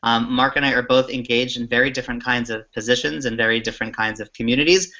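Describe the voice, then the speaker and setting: Bright-voiced man hosting, slightly muffled call. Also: medium pitch, fairly even intonation, fast talker. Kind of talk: casual hosting talk. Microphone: video call. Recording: dull lo-fi online call audio.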